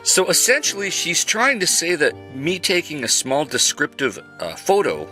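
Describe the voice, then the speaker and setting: A man's voice: a little high, wildly swooping pitch, normal speed, sharp tone, faint music behind. A man from Canada giving a sarcastic, chuckling commentary monologue as a channel host. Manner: sarcastic, chuckling, mock-polite.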